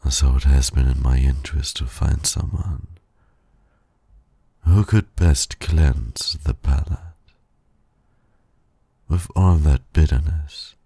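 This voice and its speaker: Man playing a very deep, gravelly suave villain. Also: dark tone, no echo, exaggerated voice. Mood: seductive, confiding. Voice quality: gravelly, breathy, husky. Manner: teasing, silky, formal and condescending. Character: suave male villain flirting with heroic nemesis. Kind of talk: ASMR romance roleplay.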